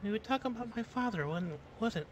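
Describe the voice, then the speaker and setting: A woman's voice: on the high side, animated, a little fast, slight background noise, lightly acted. Woman playing timid, stammering James, zombie survivor. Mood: hesitant, confused. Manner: stammering, meek, hesitant. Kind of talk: improvised online game roleplay.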